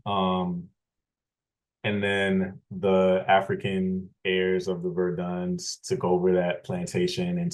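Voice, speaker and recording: A man from Louisiana, an interview guest, telling his family history in a measured, explanatory way with frequent restarts. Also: deep voice, darkish tone, rough recording. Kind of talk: thoughtful conversational storytelling.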